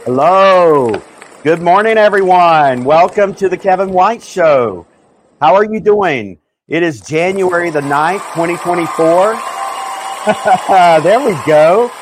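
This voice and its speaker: A male host greeting viewers on a live show, reading out names and places warmly with many fillers. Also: high voice, animated, takes it slowly, slight background noise. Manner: upbeat, shouting out names with fillers.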